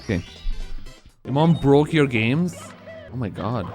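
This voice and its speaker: A man's voice: medium pitch, lively intonation, slow, a little mellow, soft background music. A man in his twenties speedrunning on a live stream, rambling casually and answering chat between moves.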